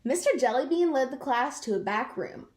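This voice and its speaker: A woman reading a picture book aloud to children in an expressive way, drawing out words for effect. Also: high-pitched, normal speed. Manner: animated, draws out words for effect. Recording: roomy, echoing sound.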